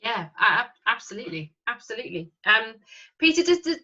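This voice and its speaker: Woman interviewer, bright forward voice, hesitant conversational questions, muffled lo-fi sound. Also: on the high side, big pitch swings. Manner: hesitant, halting.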